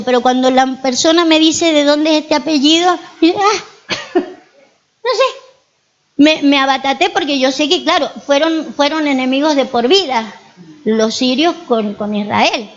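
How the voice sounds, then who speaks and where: A woman's voice: sits high, unhurried, lo-fi sound. A woman pastor with an Argentine accent, preaching a sermon in church and telling stories with frequent rhetorical questions to the congregation. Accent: Argentine Spanish.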